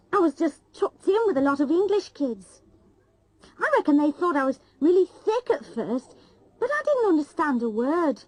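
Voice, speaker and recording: A man's voice: very high-pitched, poor audio. A man voicing a young man from a Pakistani family who grew up in Liverpool, with a Liverpool accent, talking casually. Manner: casual and offhand. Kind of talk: scripted textbook monologue.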